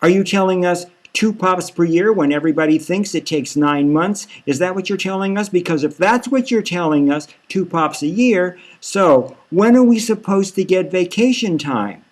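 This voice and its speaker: Fed-up surrogate mom acted by a man, satirical audiobook, pitched up, pressing and demanding. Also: expressive, normal speed, hardly any pauses. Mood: indignant, demanding. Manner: insistent, repetitive questioning. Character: indignant, nagging surrogate mother confronting her boss. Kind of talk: satirical audiobook character reading.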